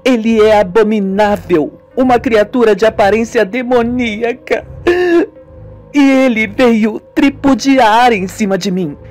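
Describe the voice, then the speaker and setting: A woman's voice: medium pitch, darkish tone, pitched above the performer's own voice, lightly acted. A woman voicing a terrified, tearful young woman in a radio soap opera, pleading and exclaiming melodramatically. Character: terrified, tearful young sister haunted by death.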